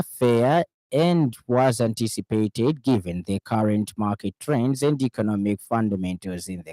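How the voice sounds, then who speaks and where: A man with a Zambian accent reading a scripted radio news bulletin in a formal, measured way. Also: mid-range voice, dark tone, no echo.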